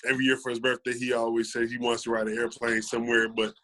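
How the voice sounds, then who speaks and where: A man's voice: mid-range voice, flat delivery, fast, sharp tone. American man, thirties, podcast guest, earnest, conversational storytelling, repeats himself for emphasis, mid-range voice.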